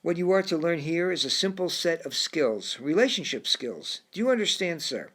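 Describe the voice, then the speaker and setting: A man voicing a calm, courteous male frog with an English accent, who speaks formally and keeps saying sir in an audiobook story. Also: a little high, normal speed, voice pushed up. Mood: calm, instructive.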